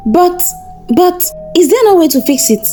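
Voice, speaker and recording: Woman voicing a troubled young husband, animated pitch. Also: normal speed, faint music behind. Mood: stammering. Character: caring, troubled young husband hiding his distress.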